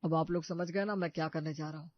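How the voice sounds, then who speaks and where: A man's voice: fairly high pitch, fairly even intonation, fast talker, natural voice. A man playing a protective, scheming young brother in a cartoon story, with an assured, earnest delivery. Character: protective, scheming young working-class brother posing as rich suitor. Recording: muffled dry studio recording.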